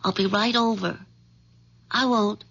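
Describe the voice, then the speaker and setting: A woman's voice: medium pitch, steady tone, measured pace, vocal fry, fairly bright tone. An actress playing a restless, wry American woman, a lover and accomplice in an old-time radio crime drama. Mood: impatient, soothing. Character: restless female accomplice and lover, sardonic, complaining. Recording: dull lo-fi radio recording.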